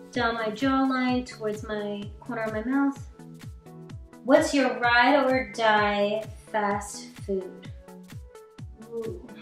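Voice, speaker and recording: A young woman from Canada chatting casually while doing her makeup, rambling with lots of "like". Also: a little high. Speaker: vlogger. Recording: echoey room, distant mic, faint music.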